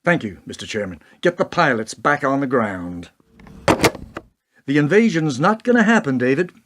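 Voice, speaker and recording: An actor playing a defiant, principled American president in a radio play, speaking in rhetorical, oratorical questions. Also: male voice, mid-range voice, very animated, moderate pace. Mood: firm, composed. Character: defiant, principled male American president, indignant yet composed.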